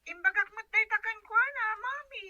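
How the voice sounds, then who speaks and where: A woman's voice: extremely high pitch, normal speed, sharp tone, lo-fi sound, full character voice. A woman voicing a young child in a radio drama, answering a parent in a hesitant, evasive, whiny way. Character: evasive young child covering for father on the phone. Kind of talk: Ilocano comedy radio drama acting.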